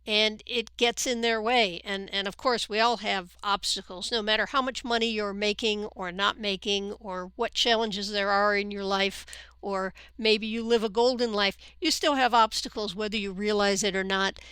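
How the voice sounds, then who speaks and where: Bright, crisp-voiced woman podcast host. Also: mid-range voice, steady tone, moderate pace, dry recording. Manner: enthusiastic, repeats words while thinking. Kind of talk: conversational interview.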